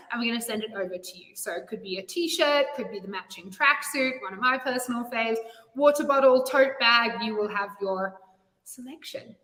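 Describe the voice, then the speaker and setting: Upbeat woman host in an echoey room. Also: a little high. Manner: upbeat, laughing, lively. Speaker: host and CEO. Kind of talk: casual live-stream hosting. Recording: echoey room with long reverb.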